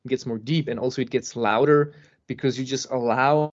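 A man explaining things casually as a podcast guest, with emphasis and pauses to find his words. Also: medium pitch, fairly even intonation. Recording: dull lo-fi podcast audio.

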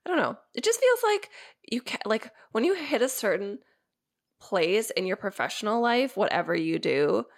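A young woman co-hosting a podcast in casual chat, sounding congested and stuffy as she gets over an illness, rambling with lots of fillers and quick laughs. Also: medium pitch, very animated, vocal fry. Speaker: podcast co-host.

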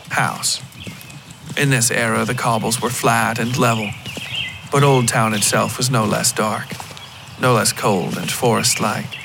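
A man reading scripted first-person dark fiction as the narrator of a story podcast. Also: lowish voice, creaky, background noise.

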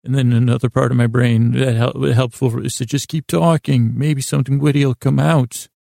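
A man hosting a sleep podcast in a creaky voice, meandering haltingly in lulling, soothing tones, repeating himself and trailing off. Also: medium pitch, fairly even intonation, warm tone, dry recording, clean studio sound. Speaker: podcast host. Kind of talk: rambling sleep-podcast monologue.

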